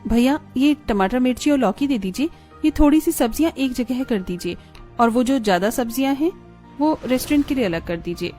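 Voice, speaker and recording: A woman acting a thrifty, firm cartoon housewife and dutiful daughter-in-law. Her voice is fairly high and soft-edged, with light music behind it. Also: lively intonation, a little fast. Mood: polite, practical. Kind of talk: cartoon family drama acting.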